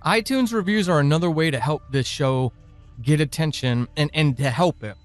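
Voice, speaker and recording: A man hosting a podcast, speaking informally and earnestly in deliberate phrases as he addresses his listeners. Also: a little high, soft background music. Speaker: podcast creator and host. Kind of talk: informal listener thanks and announcements.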